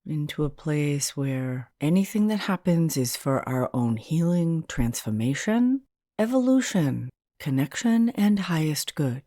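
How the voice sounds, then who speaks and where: A woman leading a guided meditation as a hypnotherapist, in a soothing, gentle and unhurried manner. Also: deep voice, sing-song, dry recording, studio quality.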